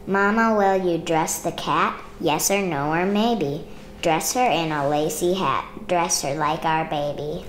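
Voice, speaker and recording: A woman reading a children's picture book aloud in measured, rhythmic rhyme. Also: fairly low pitch, slight background noise. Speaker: storybook reader.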